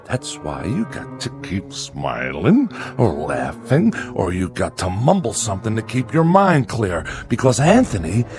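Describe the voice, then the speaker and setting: An actor playing a frightened small-town father in a radio drama, halting and strained as he forces cheerfulness. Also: on the low side, wildly swooping pitch, vocal fry, over music, full character voice. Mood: fearful warning. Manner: halting, repeats words, strained.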